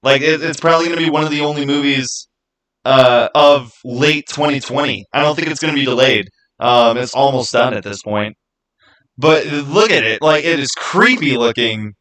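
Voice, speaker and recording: A man's voice: medium pitch, moderate pace, a bit bright, poor audio. Casual, rambling male livestreamer. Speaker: livestream host. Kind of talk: casual livestream chat, answering viewers' comments.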